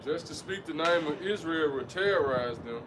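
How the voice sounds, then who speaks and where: A man reading scripture aloud outdoors in a steady, measured way. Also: fairly high pitch, distant mic. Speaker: scripture reader. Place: outdoors by a street. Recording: off-mic, street traffic noise. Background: passing vehicles.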